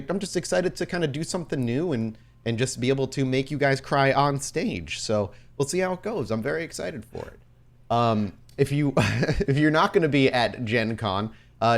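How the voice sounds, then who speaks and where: A man hosting a podcast, talking informally and enthusiastically, with a jokey way of making announcements. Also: lowish voice. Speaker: podcast host and game storyteller. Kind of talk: casual podcast announcements and banter.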